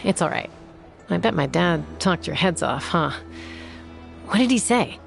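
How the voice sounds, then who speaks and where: A woman's voice: on the low side, expressive, measured pace, vocal fry, soft background music, exaggerated voice. A woman playing a tough, level-headed young warrior in a video game, cool, composed and frank. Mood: wry, relaxed.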